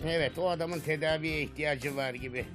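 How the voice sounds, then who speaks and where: A man's voice: a little high, steady tone, fairly quick, music in the background, close to an everyday voice. A man voicing a sardonic, mocking senior military commander with theatrical sarcasm in a Turkish cartoon dub. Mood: skeptical.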